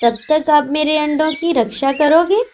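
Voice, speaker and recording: Gentle mother sparrow, female actor, high-pitched, earnest and polite, children's cartoon, faint noise behind. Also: moderate pace, lightly acted. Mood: trusting. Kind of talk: Hindi children's cartoon voice acting.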